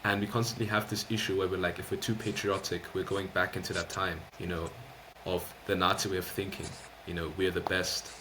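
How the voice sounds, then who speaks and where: A man's voice: sits low, little pitch movement, noisy background. A man hosting a podcast, talking earnestly in a casual discussion and often saying "you know.". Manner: quick, earnest, many fillers of "you know". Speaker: podcast host.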